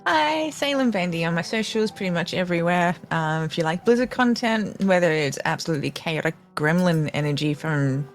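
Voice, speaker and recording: Lower-voiced woman streamer, rambling. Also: brisk, few pauses, soft background music. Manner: rambling, with repeated restarts. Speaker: streamer and player. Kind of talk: casual chat.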